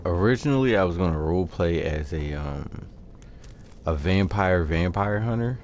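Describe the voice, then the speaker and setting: A man giving casual, halting gameplay commentary, thinking aloud as he plays. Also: sits low, animated, darkish tone. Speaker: gaming video host. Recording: clear background game noise. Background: video game ambience.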